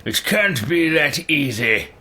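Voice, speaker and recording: A man's voice: on the high side, very animated, slow, pushed and bright, heavily acted. A man playing an arrogant, power-hungry male mage in a game roleplay, speaking in a pompous, theatrical, declamatory way. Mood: determined. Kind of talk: roleplayed game character dialogue.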